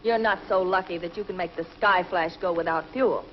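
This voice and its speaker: A woman's voice: lowish voice, fairly quick, slight background noise, natural voice. An actress playing an anxious young woman navigator who keeps warning of danger, in a 1950s TV drama, on a muffled old soundtrack.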